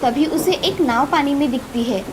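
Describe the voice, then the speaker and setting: A woman's voice: high-pitched, moderate pace. A woman narrating a scripted moral story as a storyteller. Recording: clearly noisy, with ambience behind.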